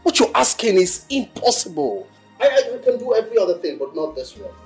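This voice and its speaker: A woman's voice: mid-range voice, sing-song, brisk, over music. A woman voicing a flustered young employee who protests a shocking request from his boss. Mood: protesting. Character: pleading young man, the boss's employee.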